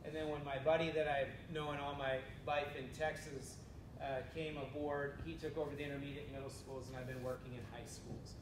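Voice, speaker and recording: A man's voice: level tone, moderate pace. A man in his forties from North Dakota, telling his career story to a room with dry humor. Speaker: human resources administrator. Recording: roomy echo from far off, rumble.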